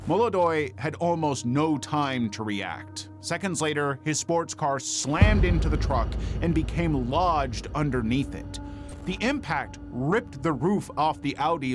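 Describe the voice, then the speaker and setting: A man reading scripted true-crime documentary narration in a dramatic, measured storytelling manner. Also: on the high side. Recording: faint music bed under narration. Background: background music.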